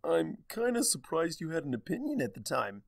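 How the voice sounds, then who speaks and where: A man's voice: on the high side, big pitch swings, moderate pace, vocal fry, dry recording, voice pushed up, heavily acted. A man voicing a small young male dragon who is earnest, blunt and a little awkward, in a fan fiction reading.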